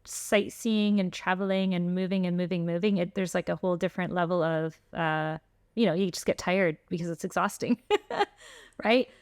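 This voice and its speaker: A woman's voice: fairly low pitch, steady tone, normal speed, clear, resonant tone, dry recording. Chatty middle-aged woman, dry close sound. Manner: chatty, informal, frequent "like" and "you know". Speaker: podcast guest, traveling homeschooling mother. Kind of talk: casual interview chat.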